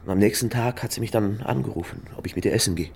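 A man's voice: fairly low pitch, fast talker, creaky, a little mellow, a little hiss or hum. A man playing an awkward young private detective in a German radio crime drama, flustered, defensive and stammering. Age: young adult.

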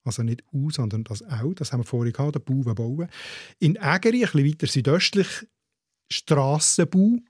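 A man's voice: mid-range voice, slightly rough, no echo. Dry-voiced Swiss German dialect expert man. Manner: measured, explanatory, spells out sounds slowly. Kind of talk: explaining in a dialect podcast.

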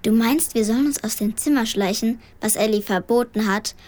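A woman's voice: on the high side, normal speed, darkish tone, close to an everyday voice. A woman playing a clever, scheming schoolgirl who narrates a children's radio play in a wry, know-it-all, matter-of-fact way. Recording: music behind the voice.

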